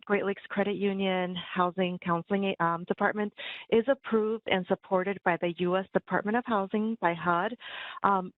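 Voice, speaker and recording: A woman's voice: mid-range voice, no echo. A woman housing counselor giving a webinar presentation, friendly, with many filler words. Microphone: video call. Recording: tinny phone-like line.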